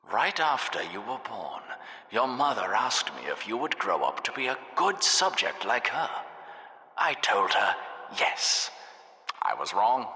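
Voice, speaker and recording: A man's voice: on the low side, pushed and bright, heavily acted. A man voicing a smug, sadistic test-lab overseer in a video game, mock-polite, sarcastic and theatrical. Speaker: game narrator. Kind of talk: scripted video game narration. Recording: echoey, reverberant hall sound.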